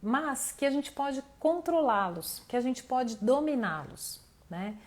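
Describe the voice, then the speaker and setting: A woman's voice: sits high, lively intonation, takes it slowly, a little mellow. Brazilian woman teaching a motivational live class. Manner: conversational, addresses the audience directly, thinks aloud. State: voice tiring, losing it slightly. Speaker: mentor hosting an online live class. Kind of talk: informal motivational teaching to camera.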